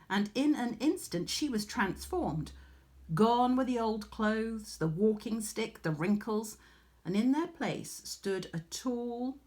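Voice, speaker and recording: A woman with an English accent reading a story expressively to children, as a teacher would. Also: mid-range voice.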